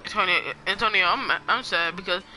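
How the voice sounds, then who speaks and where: A man's voice: high voice, brisk, bright, forceful tone, slight background noise, rough recording. Joking young man live-streaming a game. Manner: joking, sing-song, repeats phrases. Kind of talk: casual live-stream commentary. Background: game music.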